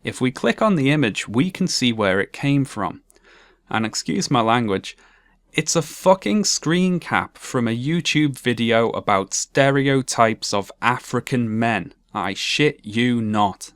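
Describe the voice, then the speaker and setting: A young man with a monotone, dryly sarcastic delivery, narrating a commentary video essay. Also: mid-range voice, measured pace, no room sound.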